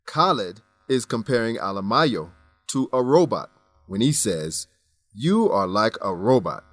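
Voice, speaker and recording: A man presenting an English lesson in deliberate, scripted educational narration. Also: on the low side, big pitch swings, fairly bright tone, dry recording. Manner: deliberate, instructional.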